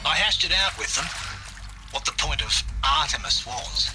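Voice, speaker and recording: An actor playing a bitter, resigned older scientist and outdoorsman in a video game monologue, measured and wryly disdainful. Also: a little high, noisy background, close to an everyday voice. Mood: appalled, earnest. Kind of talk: recorded confessional monologue, video game acting.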